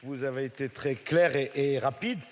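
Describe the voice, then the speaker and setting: A man's voice: medium pitch, normal speed, a crowd in the background. A man moderating a conference panel, asking long roundabout questions with many hesitations. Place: conference hall. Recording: reverberant conference hall.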